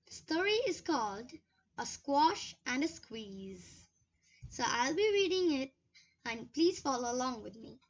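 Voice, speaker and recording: A young-sounding storyteller reading a children's story aloud in a deliberate, expressive way. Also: high voice, muffled recording.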